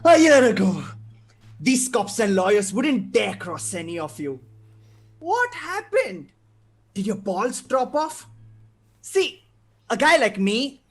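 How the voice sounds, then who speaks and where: A man playing a manic, menacing criminal clown in a live table read, taunting with drawn-out words and cackles. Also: high-pitched, sing-song, full character voice.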